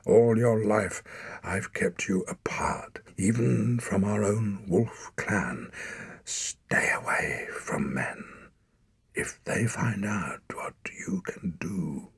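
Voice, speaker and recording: A man voicing a dying father whispering hoarsely. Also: fairly low pitch, dry recording, heavily acted. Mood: urgent. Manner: faint breathy whisper, halting. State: out of breath. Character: dying father, grave weakened hunter.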